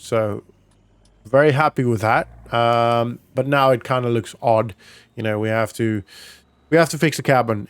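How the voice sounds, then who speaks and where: Clear, dry-sounding man vlogging casually. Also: mid-range voice, dry recording. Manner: rambling, thinking aloud. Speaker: gaming vlogger. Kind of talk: casual roleplay vlog narration.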